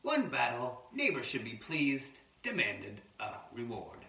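A man voicing a sleepy, demanding wombat in an attempted Australian accent, reading its diary from a picture book in short deadpan statements. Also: medium pitch, unhurried, gravelly, full character voice. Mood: demanding, pleased. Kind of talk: children's picture book read-aloud. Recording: roomy, distant mic, faint background noise.

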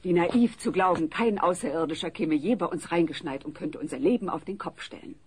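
A woman's voice: fairly low pitch, brisk, darkish tone, natural voice. An actress playing a worried, weary suburban wife and mother in a comedy audio play. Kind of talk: German sitcom audio play dub. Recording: noisy old cassette recording.

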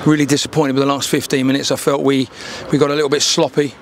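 A man's voice: mid-range voice, level tone, quick pace, slight background noise. An older man in his sixties, a football manager giving a candid post-match interview outdoors into a handheld mic, often repeating words as he restarts. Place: outdoors by a dark wall at a football ground.

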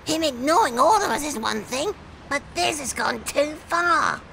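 Cast a woman doing a wounded, defiant mixer; high, bright, very gravelly. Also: lively intonation, moderate pace, slight background noise, full character voice, poor audio. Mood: indignant. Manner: indignant, wounded.

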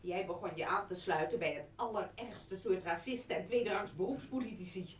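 An actress playing a hurt, scornful ex-wife in a radio play, sarcastic and accusing. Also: female voice, medium pitch, fairly quick, natural voice. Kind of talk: Dutch radio play acting. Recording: dull, distant old radio recording.

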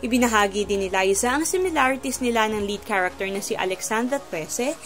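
A woman presenting entertainment news as a scripted voice-over at a brisk, even pace. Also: on the high side, soft background music.

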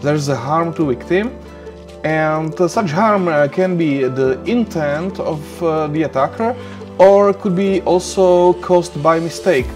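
A man's voice: a little high, measured pace, soft background music. A middle-aged man with a Czech accent, lecturing over slides in a small room, hesitant with many fillers and restarts. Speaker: university lecturer.

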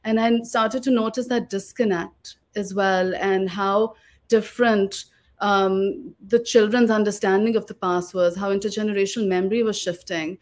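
A woman, talking reflectively and articulately as an interview guest on a video call. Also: mid-range voice. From Lahore, Pakistan. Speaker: guest oral historian and author. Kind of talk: reflective webinar interview talk. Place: living room at home, video call. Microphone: video call microphone.

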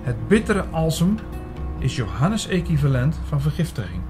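A man's voice: on the high side, very animated, normal speed, over music. A man playing a learned, sceptical narrator in a Dutch audio drama. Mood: measured, explanatory. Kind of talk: first-person audio drama narration and dialogue.

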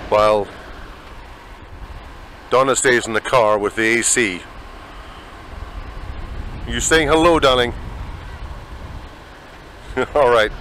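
A man's voice: on the low side, pushed and bright, a little hiss or hum. A Scottish man narrating a travel vlog outdoors, chatty and rambling, on a handheld camera mic. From Scotland. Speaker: vlogger. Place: outdoors in open desert.

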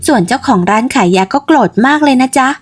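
A woman voicing a cheerful, polite young village woman in a storybook tale, with sweet, animated phrasing. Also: high-pitched, fast. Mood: gossipy, excited. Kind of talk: storybook character voice.

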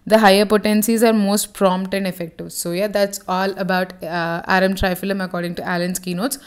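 A woman's voice: medium pitch, level tone, fairly quick, clear, resonant tone. A woman teaching homeopathy, reading short passages aloud and then explaining them in her own words, with frequent fillers and self-corrections. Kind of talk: reading and explaining a reference text.

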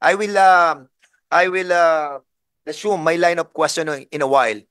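A man's voice: fairly high pitch, normal speed, a bit bright, smooth, no room sound, studio quality. A Filipino man chairing a congressional hearing, questioning a witness sternly in English and Tagalog. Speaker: committee chair.

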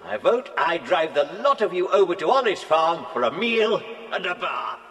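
A man's voice: a little high, very animated, moderate pace, fairly bright tone, soft background music. An actor playing a kindly, jovial man in a children's audio drama, with a hearty, exclamatory manner. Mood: warm amusement.

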